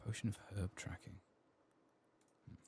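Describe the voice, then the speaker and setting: A man doing soft-spoken ASMR game commentary, gentle and unhurried, often slipping into a whisper close to the microphone. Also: a little hiss or hum. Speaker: gaming ASMR streamer.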